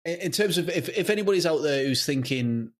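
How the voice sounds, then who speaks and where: A man's voice: a little high, expressive, a little fast. A man talking casually as an interview guest, emphatic and self-deprecating. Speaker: podcast guest, audio drama creator.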